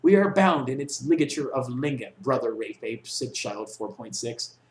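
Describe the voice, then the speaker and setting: A man's voice: mid-range voice, animated, normal speed. A young man reading a comic story aloud in a theatrical, mock-serious way. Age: young adult. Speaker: reader. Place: small dorm room with block walls, indoors. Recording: echoey bare room.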